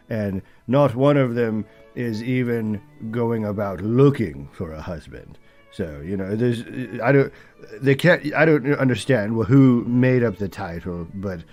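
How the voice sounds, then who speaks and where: A man playing a doddering, opinionated old British film critic who rambles haltingly and mutters forgetful asides in a comic review monologue. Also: on the low side, darkish tone. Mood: puzzled, mildly indignant.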